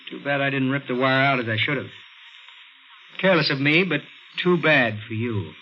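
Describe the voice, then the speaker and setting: A man's voice: mid-range voice, normal speed, natural voice. An actor playing a cold murderer posing as a helpful policeman in a 1950s radio thriller, clipped and matter-of-fact. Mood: cold, menacing. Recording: muffled, noisy 1950s radio recording.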